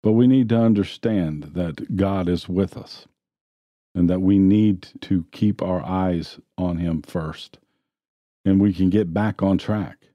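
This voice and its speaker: A man preaching a sermon in a church, retelling scripture stories in a plain, steady way. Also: low-pitched, slow pace, no echo, dull audio. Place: church sanctuary.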